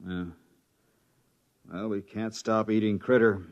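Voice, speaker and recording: A man's voice: lowish voice, fairly even intonation, slow. An actor playing an anxious, downbeat male crew scientist in 1950s radio drama style. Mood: grim. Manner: gloomy and worried. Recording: muffled 1950s radio broadcast.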